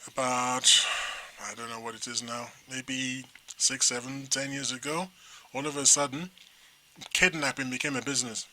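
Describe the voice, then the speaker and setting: A middle-aged man from Nigeria talks casually on a talk show, with a very bright, sharp tone. He rambles and restarts, and his pitch sits in a middle range. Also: normal speed, a little hiss or hum. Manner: rambling, animated storyteller with restarts. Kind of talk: casual chat.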